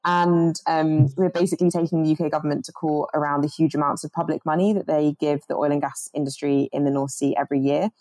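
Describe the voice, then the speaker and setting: A young woman with a low, mellow voice talks earnestly about her organizing over a video call. She restarts her sentences often as her thoughts run ahead, and the sound is dry and close. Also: steady tone, fast, hardly any pauses, dry recording, rough recording. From born in Jamaica, grew up in the UK. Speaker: climate organizer guest.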